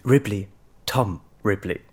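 Ein Schauspieler spielt im Hörspiel einen imaginären Begleiter, das literarische Alter Ego einer Autorin, knapp und stichelnd. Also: male voice, fairly low pitch, takes it slowly, darkish tone, husky. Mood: probing, sly. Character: imaginary male companion, American literary alter ego, probing, teasing. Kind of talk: radio play dialogue.

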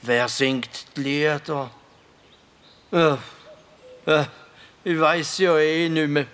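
Eccentric, forgetful Swiss German woodland spirit for radio play. Bright, highish voice, playful nonsense singing. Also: male voice, takes it slowly, smooth. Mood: indignant. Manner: singsong, exclamatory, prone to nonsense syllables. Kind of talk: children's radio play acting.

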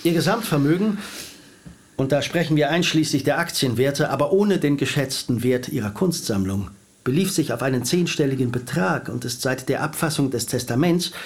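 Precise, officious lawyer. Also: male voice, medium pitch, animated, husky. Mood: matter-of-fact. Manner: measured, officious, legalistic. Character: formal, precise, courteous male lawyer. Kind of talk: radio drama acting.

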